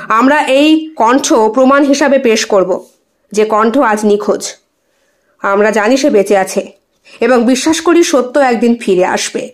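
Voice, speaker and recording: A calm, principled defence lawyer in a courtroom audio story a male part read by a woman. The high voice speaks formally and with measured conviction. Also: lightly acted. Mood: calm, earnest.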